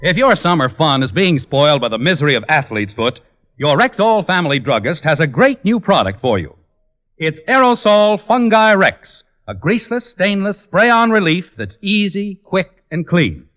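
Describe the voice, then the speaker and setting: A male announcer reading a scripted old-time radio commercial as a polished, carefully enunciated sales pitch. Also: very animated, normal speed, fairly bright tone.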